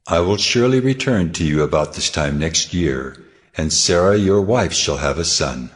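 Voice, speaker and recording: A man playing God in a dramatized audio Bible, speaking in a grave, measured, authoritative voice. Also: deep voice, animated. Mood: solemn, questioning. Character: God, solemn, commanding divine male voice. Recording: musical score behind the voice.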